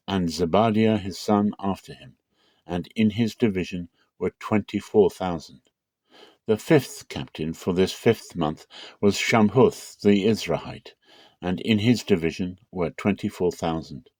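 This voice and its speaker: A man with a low voice gives a dramatic reading of scripture. He is measured and unhurried, stretching key phrases. The recording is close and dry, with no room sound. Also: a little mellow, no echo. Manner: measured, slows for emphasis. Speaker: Bible reader.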